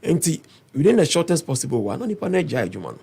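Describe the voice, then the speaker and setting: Hoarse Ghanaian man, gravelly and husky. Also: fairly high pitch, racing. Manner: earnest, persuasive, steady explaining. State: hoarse, lost his voice. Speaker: parliamentary aspirant guest. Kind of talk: interview answers mixing Twi and English.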